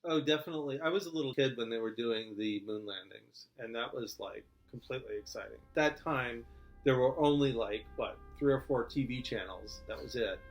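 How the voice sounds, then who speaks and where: A man in his fifties from Oregon is interviewed and tells his memories in a chatty, animated way. His voice sits at a middle pitch. Clear guitar music plays behind him. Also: fairly quick. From Oregon, USA. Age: 50s. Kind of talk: casual reminiscing.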